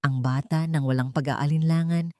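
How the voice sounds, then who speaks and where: Low-pitched Filipino woman narrating a dramatic story. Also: fairly even intonation, moderate pace, a little mellow, no echo, studio quality.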